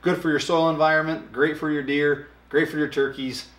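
A man in his thirties casually explaining a product in a dark studio, talking with lots of hand gestures and filler ums. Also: on the high side, little pitch movement, a little fast. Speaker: product expert. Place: dark studio set, indoors. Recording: roomy echo.

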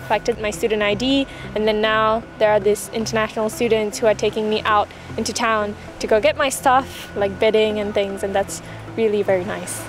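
A young woman from Malaysia, an international student, chatting casually about her arrival on campus. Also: medium pitch. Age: young adult. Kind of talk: casual testimonial. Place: outdoor campus courtyard.

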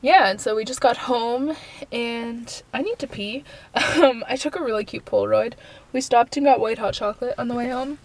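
A woman's voice: fairly high pitch, normal speed. A young woman with a stuffy nose from a cold, chatting casually to a handheld camera at arm's length. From Canada. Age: young adult. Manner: chatty, rambling, quiet at night. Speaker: vlogger. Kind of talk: casual daily vlog. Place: bedroom, car and living room at home.

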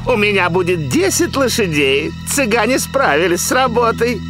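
A man playing an excitable, dramatic, emphatic character in a dubbed cartoon. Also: sits high, heavily acted. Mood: gloating.

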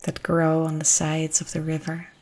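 A woman narrating a guided meditation in a gentle, soothing, unhurried way with long pauses. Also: sits low, completely flat delivery.

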